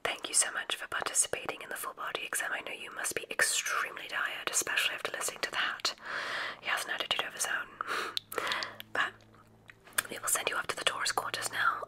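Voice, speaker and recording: A woman playing a gracious, whimsical witch who runs a magic school, in a genteel, breathy ASMR whisper. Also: lightly acted. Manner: genteel, unhurried, welcoming. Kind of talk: ASMR medical roleplay.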